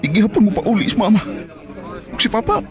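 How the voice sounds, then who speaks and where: Rebellious emotional young son, visayan filipino accent, high voice, lively swings, radio drama. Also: male voice, fast, music in the background, crowd noise. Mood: bitter, defiant. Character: bitter, emotional young adult son, rebellious yet loving. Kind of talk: Bisaya radio drama acting.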